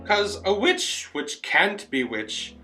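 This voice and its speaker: Forgetful old witch acted by a man, rueful storybook character, high pitched and very slow, theatrical delivery. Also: huge pitch swings, bright, forceful tone, soft background music, exaggerated voice. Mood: bewildered, searching. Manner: slow, drawn-out, theatrical verse recital. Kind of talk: dramatic poem recitation.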